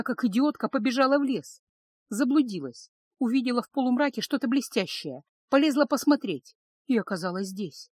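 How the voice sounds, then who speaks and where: A woman's voice: fairly high pitch, darkish tone, no room sound, voice pushed up. A woman voicing a frightened, homesick eighteen-year-old captive girl in an audiobook, pleading and sobbing.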